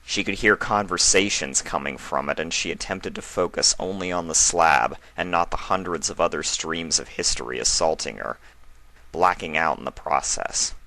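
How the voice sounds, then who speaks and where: A man's voice: deep voice, bright, forceful tone. A man reading a scripted fantasy story aloud as its narrator.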